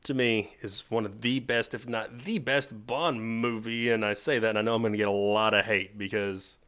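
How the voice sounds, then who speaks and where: Laughing, excitable man co-hosting a podcast. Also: lowish voice, normal speed. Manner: excitable, laughs often, swears casually. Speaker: podcast co-host. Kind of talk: casual chat.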